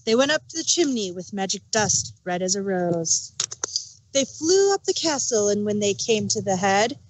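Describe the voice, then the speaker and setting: A woman narrator reading a storybook tale in rhyming verse with an expressive read-aloud cadence. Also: medium pitch, moderate pace, a little hiss or hum, low-quality recording.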